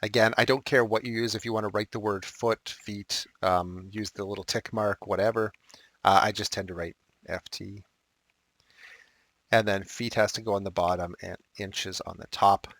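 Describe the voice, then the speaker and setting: A male math teacher walking through unit conversions step by step, with casual asides and a slightly raspy, dry-throated voice. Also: on the low side, steady tone, normal speed, a bit bright, no echo. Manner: explains step by step with asides and fillers. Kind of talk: recorded math lesson walkthrough.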